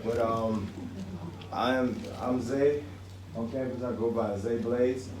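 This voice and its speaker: A man's voice: mid-range voice, steady tone, takes it slowly. A young man from Atlanta, his voice worn from days of singing, rambles through a question at a campus panel. He is picked up from a distance in an echoey hall, with noise all around. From Atlanta, Georgia. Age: 20s. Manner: rambling, chatty. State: losing his voice. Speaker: student asking a question. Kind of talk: audience question. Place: university event hall. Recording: roomy hall, mic heard from a distance.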